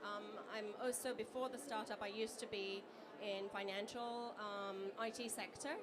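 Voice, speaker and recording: A woman from Japan, a native Japanese speaker, speaking on a conference panel in a halting way as she searches for words. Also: mid-range voice, steady tone, crowd noise. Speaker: panelist, startup founder.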